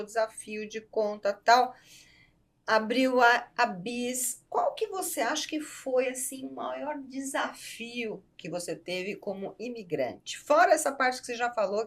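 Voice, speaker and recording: Woman host interviewing, affirming prompts. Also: a little high. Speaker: podcast host. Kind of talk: interviewing a guest.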